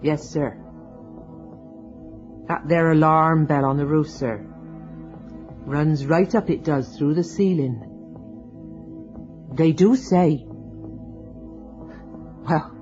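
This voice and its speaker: A woman's voice: sits low, slow, long pauses, a little mellow, soft background music. Old charwoman: servile, hinting, superstitious. Dull lo-fi radio recording. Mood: gossipy. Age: older. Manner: deferential, hinting, rustic turns of speech. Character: gossipy, foreboding old English charwoman, deferential and superstitious. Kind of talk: radio drama acting.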